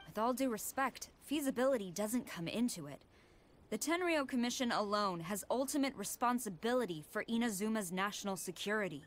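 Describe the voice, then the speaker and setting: A woman playing a stern, formal military commander in a video game, speaking with measured authority. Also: mid-range voice, lively intonation, a little hiss or hum, close to an everyday voice. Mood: stern, businesslike. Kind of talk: scripted video game dialogue.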